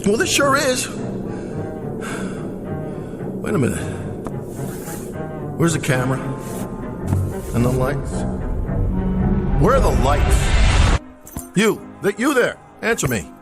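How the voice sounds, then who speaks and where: An actor playing a vain, petulant TV western star in an audio drama, theatrical, self-pitying and condescending. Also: male voice, fairly high pitch, sing-song, slow, long pauses, loud music behind the voice. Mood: bewildered, alarmed.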